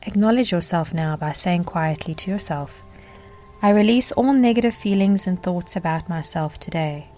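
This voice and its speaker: A woman narrating a guided meditation as the guide, speaking in a gentle, measured way with deliberate pauses. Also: lowish voice, soft background music.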